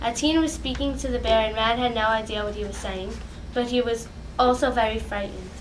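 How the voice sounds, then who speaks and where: A woman's voice: a little high, fairly quick, a little hiss or hum, rough recording. A woman narrating a story in a plain reading style. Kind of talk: student narration read aloud.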